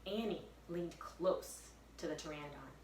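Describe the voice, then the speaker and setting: A woman, a first-grade teacher, reading aloud to young pupils with expressive, suspenseful storytelling. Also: low-pitched, moderate pace. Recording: echoey room, distant mic, faint noise.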